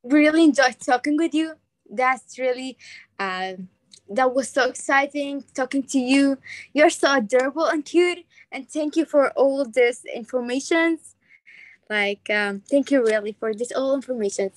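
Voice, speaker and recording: Young Egyptian woman, Egyptian accent, high voice, fast and excitable, dry webcam sound. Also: dry recording. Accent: Egyptian Arabic. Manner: excitable, exclaiming, enthusiastic. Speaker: host. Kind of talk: casual video call interview. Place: small room indoors. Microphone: laptop webcam on a video call.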